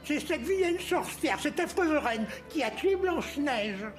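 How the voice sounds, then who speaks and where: A woman voicing an anxious, excitable little dwarf in a dubbed fairy-tale cartoon. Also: sits high, a little slow. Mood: grieving. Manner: excitable, urgent. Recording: loud music behind the voice.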